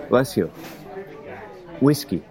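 A man acting an uneasy Prussian immigrant tradesman in his late thirties, speaking with a German accent in halting short sentences in a period drama, over music. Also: mid-range voice, animated, darkish tone, some chatter behind. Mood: guarded, nervous.

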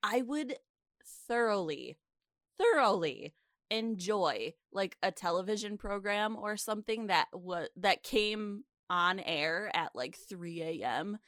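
A woman co-hosting a podcast, chatting informally and reacting in an expressive, emphatic way. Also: medium pitch, slow, no room sound, studio quality. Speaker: podcast co-host. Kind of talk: casual reactive chat.

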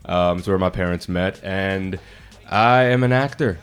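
A man's voice: sits low, unhurried, clear, resonant tone. A man in his thirties from New Orleans, a stage actor talking as a podcast guest, earnest and articulate. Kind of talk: casual chat.

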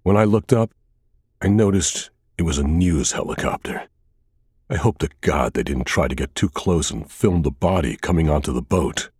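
A man reading a scripted first-person thriller as an audiobook narrator, with a measured, dramatic delivery. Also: extremely low pitch, a little mellow, husky, no room sound, clean studio sound.

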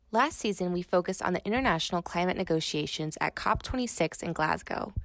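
A young woman reads a podcast's scripted introduction in a slightly low voice. Her voice has a gravelly edge, and the mic sound is close and dry. She reads steadily and clearly. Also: no echo. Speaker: podcast co-host.

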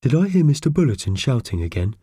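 Children's audiobook part: man as an easygoing, puzzled, ponderous pal, lifted slightly and expressive in pitch. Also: fast talker, husky, no room sound, studio quality. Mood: mild, reassuring.

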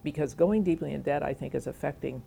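A woman educator speaking earnestly and in a measured way in an interview about higher education. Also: gravelly, dark tone, a little hiss or hum. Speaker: educator guest. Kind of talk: conversational interview.

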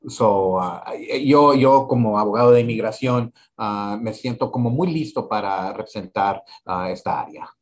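A man in his forties from Laredo, answering interview questions as a council candidate in a halting way, with many fillers and repeated words. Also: on the low side. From Laredo, Texas. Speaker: council candidate, immigration attorney. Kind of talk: interview in Spanish.

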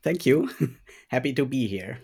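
A man whose first language is German, answering interview questions as a speech pathology lecturer, with thoughtful pauses and ums. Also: mid-range voice, animated, creaky, breathy edge. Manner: thoughtful, frequent um pauses, trailing quieter.

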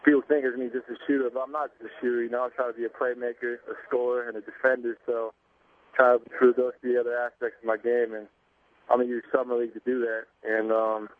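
A man's voice: medium pitch, fast talker, no echo. Young man calling in over a tinny phone line. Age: young adult. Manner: relaxed, laid-back, many fillers. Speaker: basketball player calling in. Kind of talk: phone-in interview.

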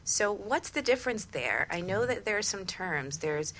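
A woman's voice: medium pitch, big pitch swings, moderate pace, fairly bright tone, a little hiss or hum. A woman co-hosting a conversational radio discussion, sharing observations and putting questions to a guest. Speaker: radio show co-host.

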